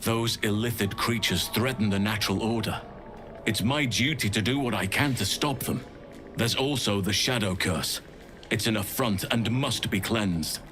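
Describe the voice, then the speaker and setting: Low-voiced, solemn druid played by a man. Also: fairly bright tone, soft background music, lightly acted. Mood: grave, resolute. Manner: measured, formal, solemn. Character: grave, wise, courteous middle-aged male druid healer. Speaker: game character voice actor. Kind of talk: scripted fantasy game dialogue.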